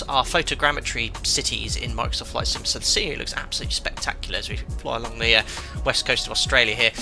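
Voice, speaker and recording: A man hosting a live flight-sim stream, talking casually with a bright, sharp edge to his voice. He sounds croaky and bunged up from a cold, and hums of "uh" fill his sentences. Soft music plays behind him. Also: mid-range voice. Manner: chatty, many filler ums and uhs. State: congested from a cold. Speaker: streamer and airline pilot.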